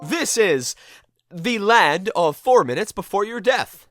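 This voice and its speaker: A man playing a wry, kindly ghost detective with an expressive delivery in a game fandub. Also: high voice, slow, no room sound, faint music behind, exaggerated voice. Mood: pondering. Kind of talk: animated game fandub acting.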